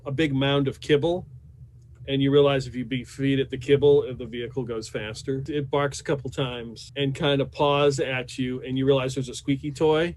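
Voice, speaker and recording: A man's voice: mid-range voice, a little mellow, low-quality recording. A man narrating a tabletop role-playing game as game master, in a casual conversational way. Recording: home game recording with background noise.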